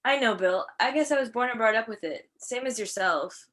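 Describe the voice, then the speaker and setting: A woman's voice: on the high side, lively intonation, fairly quick, a bit bright. A woman in an amateur play as a defensive small-town wife and mother, folksy and pleading. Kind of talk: amateur one-act play acting. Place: filmed on a video call.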